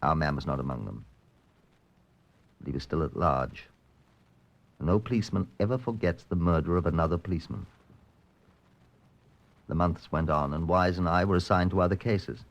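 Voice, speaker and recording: An actor playing a sober, curt Scotland Yard chief inspector, in old-time radio police drama style. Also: male voice, low voice, moderate pace, pauses often, muffled recording. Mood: sober, narrating. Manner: clipped, matter-of-fact.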